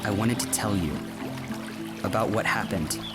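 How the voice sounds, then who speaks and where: Guy playing haunted young survivor, lowish voice, hesitant, music behind. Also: moderate pace, natural voice. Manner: hesitant, sombre storytelling. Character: haunted young man recalling childhood enslavement. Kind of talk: fantasy audio drama acting.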